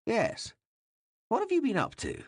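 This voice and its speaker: Guy putting on a bawdy king, loud and mocking, husky voice swinging all over, dry close sound. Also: very high-pitched, huge pitch swings, a little slow, dry recording, voice pushed up, full character voice. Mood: teasing. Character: teasing, bawdy middle-aged male king. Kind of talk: audiobook reading.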